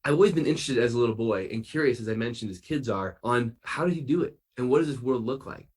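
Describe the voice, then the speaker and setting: A man playing an excitable, wonder-filled science doctor for children, gushing and emphatic. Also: mid-range voice, quick pace, breathy edge, natural voice. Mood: awestruck, excited. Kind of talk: kids' science show character.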